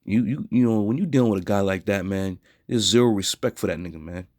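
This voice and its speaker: A hoarse man teaching scripture in a blunt, scornful way, with a frequent "all right?". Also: on the low side, fast, a little mellow, no echo, clean studio sound. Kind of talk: street preaching scripture lesson.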